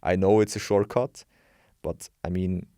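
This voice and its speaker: A young man in his twenties talks frankly and bluntly, restarting sentences as he works out his view. His voice sits a little low, and the sound is close and dry, with no room echo. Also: steady tone, unhurried, dark tone. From Switzerland. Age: 20s. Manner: frank, opinionated, thinks aloud with restarts. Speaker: guest, bodybuilding and health coach. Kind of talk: casual podcast interview.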